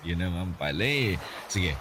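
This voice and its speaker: Teasing young man, charming, lowish voice, noisy radio drama recording. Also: lively intonation, slow pace. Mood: playful. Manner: teasing, playful, laughs often.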